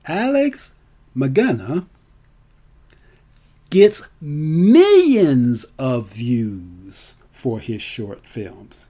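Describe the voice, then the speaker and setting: A man's voice: fairly high pitch, mellow. A middle-aged man talking casually to an audience as a storyteller, with a theatrical, emphatic delivery. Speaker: storyteller and filmmaker. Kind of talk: casual spoken monologue to an audience.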